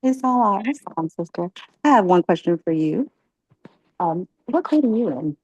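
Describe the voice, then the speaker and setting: A woman leading a religious class, asking catechism questions and encouraging her students, often pausing with ums. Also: mid-range voice, animated, a little mellow, breathy edge, no room sound, highs cut off. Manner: encouraging, halting with many ums.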